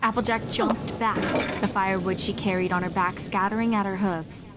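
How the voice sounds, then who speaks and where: A woman's voice: mid-range voice, steady tone, normal speed, noisy background. A woman reading a horror fan fiction story aloud as its narrator.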